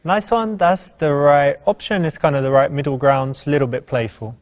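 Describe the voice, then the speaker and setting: A man's voice: on the high side, normal speed, slight background noise. A man speaking as a dating coach, giving informal commentary and advice.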